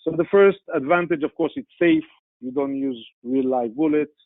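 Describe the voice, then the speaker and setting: A man with an Israeli accent, a guest speaker who talks earnestly and pauses often with "uh". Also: fairly high pitch, huge pitch swings, vocal fry, dry recording. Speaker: guest speaker, sales executive. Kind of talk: guest talk in a webinar. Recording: tinny phone-like webinar line.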